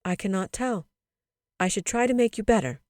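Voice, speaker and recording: A woman voicing a tender, sorrowful mother of small children in a storybook, gentle, affectionate and earnest. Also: mid-range voice, animated, normal speed, no room sound, studio quality, pitched above the performer's own voice, natural voice. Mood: sad.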